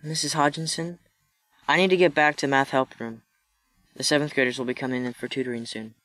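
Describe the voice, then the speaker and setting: A woman's voice: very deep voice, steady tone, brisk, a bit bright, dry recording, soft background music. A woman playing a troubled, defensive schoolboy with a sullen manner in an audio drama.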